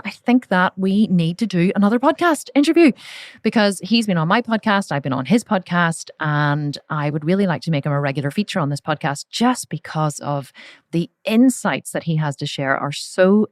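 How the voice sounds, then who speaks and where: A woman's voice: sits low, no room sound, studio quality. A woman with an Irish accent hosting her podcast, chatting casually, often correcting herself and laughing at her own slips. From Ireland. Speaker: podcast host. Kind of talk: casual podcast intro and chat. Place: office.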